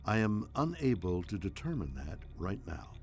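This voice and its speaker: A man playing a puzzled, formal alien mind housed in an obelisk, speaking in a stately, deliberate game-acting style. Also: low-pitched, very animated. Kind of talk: scripted science fiction game acting.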